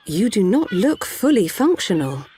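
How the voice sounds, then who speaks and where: A woman voicing a calm, formal doctor of a machine race in a video game, speaking in measured, precise wording. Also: mid-range voice, slight background noise. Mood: composed, firm. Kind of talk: video game cutscene acting.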